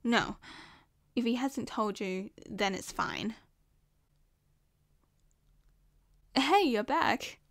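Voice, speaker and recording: A woman's voice: on the high side, lively intonation, slow pace, dry recording. A woman with an Australian accent playing a possessive, scheming young woman in an ASMR roleplay, sweet and coaxing. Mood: suspicious.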